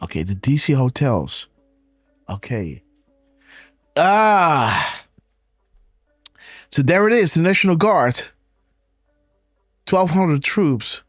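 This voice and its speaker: Dutch-accented male stream host, unhurried but excitable, voice leaping up and down, dry close sound. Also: a little high, breathy edge, dry recording. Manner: excitable, exclaiming, talks to viewers as guys. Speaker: live show host. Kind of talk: casual live-stream commentary on images.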